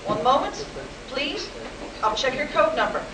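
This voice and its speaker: A woman plays an officious operator who sticks to the rules in a sci-fi radio play. Her voice is high and distant, in an echoey room swamped by noise. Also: lively intonation, a little slow. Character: officious, rule-bound Washington telephone operator. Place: live convention performance, recorded from the room.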